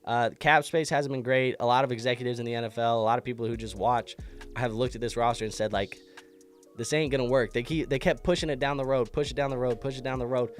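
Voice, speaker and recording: A man's voice: mid-range voice, steady tone, fast, faint music behind. A man hosting a fantasy football show, congested from an illness, talking through a casual sports recap with a loose, chatty delivery and frequent restarts.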